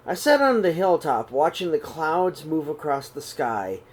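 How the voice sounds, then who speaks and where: A middle-aged man reading a children's picture book aloud in a small room, slow and expressive. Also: fairly high pitch, slightly rough. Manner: reads slowly and expressively, voices the characters' calls. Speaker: storybook reader. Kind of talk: read-aloud storytelling for children.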